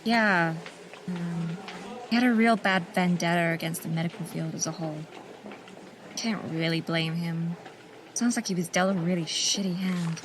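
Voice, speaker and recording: A woman's voice: lowish voice, fairly even intonation, moderate pace, noisy background, lightly acted. An actress playing a shaken young female nurse, frightened and pleading. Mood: weary. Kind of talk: audio drama acting. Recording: strong music under voice.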